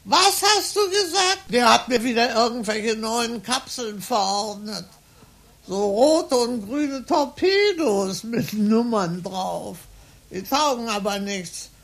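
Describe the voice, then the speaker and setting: An actress playing a confused, forgetful old woman in a psychiatric ward, rambling in a creaky, breathy voice in a radio play. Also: on the high side, expressive, takes it slowly, fairly bright tone, full character voice. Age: older. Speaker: patient.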